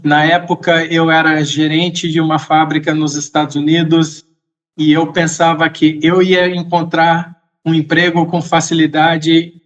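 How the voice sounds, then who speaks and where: Slow, high-voiced man telling a story. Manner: slow, measured, reflective, with occasional soft laughs. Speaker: podcast guest. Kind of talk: casual storytelling in an interview.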